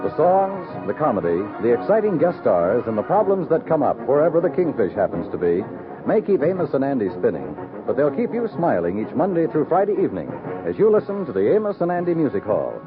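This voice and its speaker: A man's voice: medium pitch, huge pitch swings, brisk. A man working as a 1950s radio announcer, reading scripted copy in a polished, theatrical manner. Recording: narrow 1950s radio broadcast recording. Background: music.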